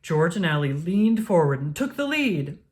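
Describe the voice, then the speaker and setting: A woman narrates a children's picture book in a fairly low voice. Her pitch moves in lively swoops, and she phrases things deliberately and expressively for young listeners. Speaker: storybook reader. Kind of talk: children's read-aloud narration.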